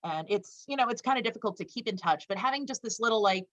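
A woman's voice: on the high side, lively intonation, fast talker, no room sound, lo-fi sound. Young woman, Ukrainian first language, chatty interviewee, dry sound. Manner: casual, lots of "like". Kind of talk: interview answers.

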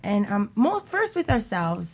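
A woman's voice: medium pitch, very animated, a little slow. A woman speaking as a guest in casual, conversational radio talk. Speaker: guest musician and music teacher.

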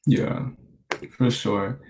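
A college senior in his twenties from the Bahamas, hosting a podcast interview in a relaxed way with lots of fillers. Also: on the low side, extremely slow, vocal fry, poor audio. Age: 20s. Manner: relaxed, many fillers like you know and like.

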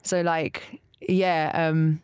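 A woman from Malta who grew up in London hosts an interview, her voice on the low side. She fires off quick, friendly questions. The sound is dry and close to the mic. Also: flat delivery, darkish tone, clean tone, no room sound. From Malta, raised in London from twelve. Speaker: podcast host. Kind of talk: casual interview chat.

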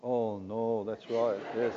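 A middle-aged man with an Australian accent preaching a sermon in a conversational, joking way, often asking the congregation questions. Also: fairly low pitch. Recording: dull lo-fi recording in a reverberant hall.

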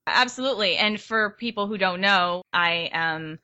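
A woman's voice: mid-range voice, moderate pace, bright, forceful tone, dull audio. A woman co-host asking interview questions in an enthusiastic, informal way.

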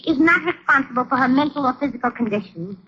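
A woman's voice: high-pitched, animated, fast. A woman playing a fussy, complaining, dim but outspoken young American secretary in a 1940s radio comedy, with rambling, ungrammatical talk. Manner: whiny, pert, ungrammatical run-on talk. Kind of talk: 1940s radio sitcom acting. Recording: dull, crackly old radio recording.

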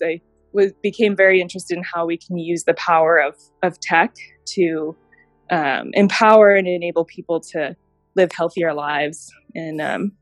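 A woman in her thirties, raised in Japan, speaking as an interview guest and reflectively recounting how she came to work in healthcare, with thoughtful pauses. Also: fairly low pitch, dry recording. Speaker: guest, healthcare innovation director. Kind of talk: interview conversation.

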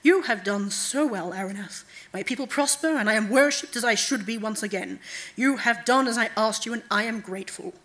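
Woman voicing an imperious, deliberate night goddess. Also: fairly high pitch, fairly bright tone, natural voice. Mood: stately, coaxing. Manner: deliberate, commanding. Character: imperious female night god, cold, commanding, manipulative.

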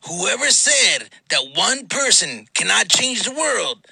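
A brash, gravelly fight-talk host, as a man puts him on, barking his countdown in a high, swooping voice. The tone is bright and cutting, with a husky, fry-laden edge. He sounds dry and close to the mic. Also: sing-song, takes it slowly, no echo, heavily acted. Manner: shouted, profane, punchy countdown delivery.

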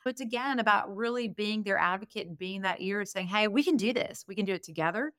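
A woman's voice: mid-range voice, quick pace, a bit bright, no echo. Candid middle-aged woman, dry close recording. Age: 49, turning fifty next month. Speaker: podcast guest, business consultant and strategic planner. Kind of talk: conversational interview answers.

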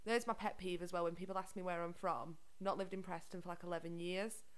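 A woman's voice: lowish voice, fast talker. A woman from Liverpool co-hosting a casual chat podcast, telling stories and swearing freely. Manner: chatty, swears freely, lively storytelling. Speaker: podcast co-host.

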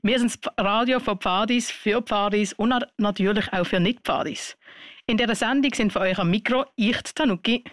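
A woman's voice: mid-range voice, normal speed, no room sound. A woman hosting a radio show in a scripted presenting style.

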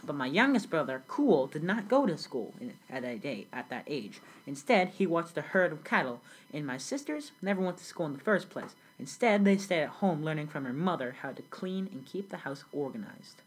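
A girl playing a husky-voiced immigrant storyteller. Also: low-pitched, big pitch swings, normal speed, few pauses, heavily acted, rough recording. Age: young teen. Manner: earnest storytelling, read from a script. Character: grown immigrant recalling a South Sudan childhood. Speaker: student playing the interviewee. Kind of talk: school project role-play interview.

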